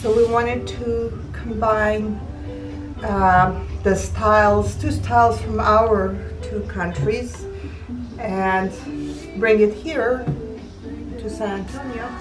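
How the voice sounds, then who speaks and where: Slow, hesitant woman, roomy. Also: medium pitch, fairly even intonation. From Serbia. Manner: hesitant, many ums and uhs, searching for words. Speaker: gallery and cafe owner, artist. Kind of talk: interview answers. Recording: roomy, distant mic with music behind.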